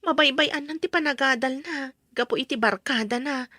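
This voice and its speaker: A woman playing an anxious, hurt young wife in an audio drama, soft and pleading, with an Ilocano accent. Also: high-pitched, moderate pace, no room sound, studio quality. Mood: worried.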